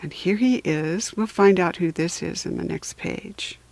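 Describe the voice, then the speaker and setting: A woman reading a children's storybook aloud for beginning readers, deliberate and patient, with chatty asides to listeners. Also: lowish voice, vocal fry, a little mellow, no echo. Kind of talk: slow read-aloud storybook narration for beginners.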